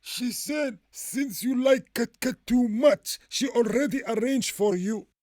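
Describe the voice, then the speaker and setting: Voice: medium pitch, takes it slowly, a little mellow, dry recording, clean studio sound, lightly acted. A performer voicing a scolding, teasing puppet with a Somali accent, emphatic, in a comedy puppet show.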